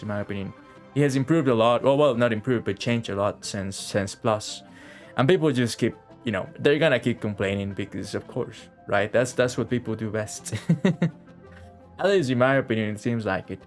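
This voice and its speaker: A man's voice: mid-range voice, expressive, moderate pace, faint music behind. A man chatting casually on a game live stream, rambling and repeating phrases as he thinks aloud.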